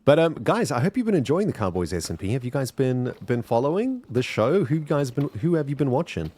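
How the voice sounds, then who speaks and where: A man's voice: mid-range voice, very animated, fairly quick, dark tone, no room sound. A man with a South African accent chatting casually to his viewers while streaming a game, rambling and addressing chat directly. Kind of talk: casual gameplay commentary.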